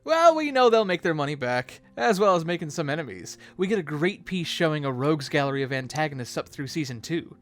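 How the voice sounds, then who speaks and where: Video commentary host, casual and expressive, swooping intonation, music bed. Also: mid-range voice, fairly quick, hardly any pauses.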